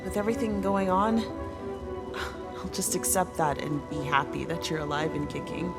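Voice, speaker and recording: Direct, expressive female crewmate, acted, loud score behind. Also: fairly low pitch, normal speed, over loud music, natural voice. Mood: concerned, practical. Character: practical, loyal female crewmate scientist. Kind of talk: video game cutscene acting.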